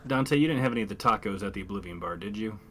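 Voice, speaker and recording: A man with a low voice plays a tabletop roleplaying game. He is terse and matter-of-fact, moving between character dialogue and action description, with background noise around him. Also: animated, rapid-fire.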